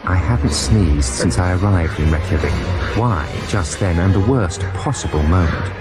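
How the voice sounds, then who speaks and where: Man playing a low-voiced young German student narrator. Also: moderate pace, over loud music, natural voice. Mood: fearful, wry. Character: young German geology student narrating, timid, earnest, naive. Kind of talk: dramatised first-person narration. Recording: 1990s radio broadcast with noisy effects behind.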